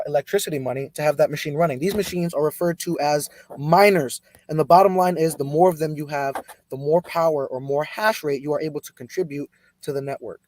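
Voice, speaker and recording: Man, stream host, fairly high voice, punchy emphatic delivery, dry close sound. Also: no echo, poor audio. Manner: energetic, emphatic, swings between loud and soft. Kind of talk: livestream podcast hosting.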